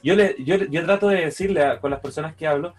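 A man's voice: on the high side, quick pace, faint music behind. A man with a Chilean accent in casual chat, frank and conversational.

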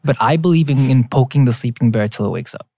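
A man's voice: mid-range voice, fast talker, dark tone, no room sound, clean studio sound. A man in his late twenties co-hosting a podcast, chatting casually and teasing his co-host. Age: young adult. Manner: playful, teasing, reads out lists. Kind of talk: casual chat.

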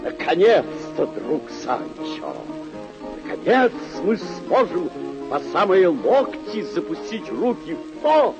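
A grandiose old knight-errant, high-voiced and swooping. He declaims gallantly and deludedly, in the manner of vintage radio theatre. The old recording is dull, with music behind. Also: wildly swooping pitch, full character voice. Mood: lofty, consoling. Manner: declamatory, stately, drawn-out phrasing. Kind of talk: vintage radio play acting.

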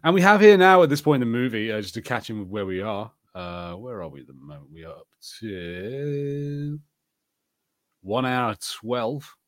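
A man with an average-pitched voice chats as a podcast co-host. His delivery is casual and talkative, with a readiness to laugh. The sound is dry, with hardly any room on it. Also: very animated, normal speed, no echo. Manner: chatty, laughs often. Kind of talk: casual watch-party chat.

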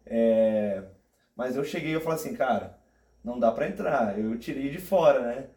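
A young man from Rio de Janeiro state with a Carioca accent, a podcast guest chatting in a relaxed, rambling way full of fillers and restarts. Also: medium pitch, moderate pace, roomy sound. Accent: Carioca Brazilian Portuguese. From Rio de Janeiro state, Brazil. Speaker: podcast guest, theology graduate. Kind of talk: casual chat.

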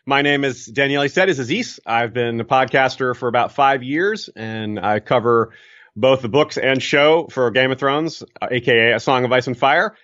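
A man's voice: mid-range voice, normal speed, fairly bright tone, dull audio. A man who hosts a podcast, talking in a casual, upbeat and friendly way. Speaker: podcast host.

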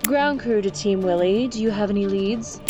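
A woman's voice: mid-range voice, moderate pace, over music, close to an everyday voice. A woman voicing a flirtatious, sultry female agent who teases and makes suggestive remarks. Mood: flirty. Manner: teasing, suggestive. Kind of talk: comedy audio drama acting.

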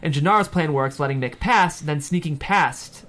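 A man's voice: a little high, moderate pace, fairly bright tone. A man reading screenplay stage directions aloud at a table read, with dramatic scripted narration.

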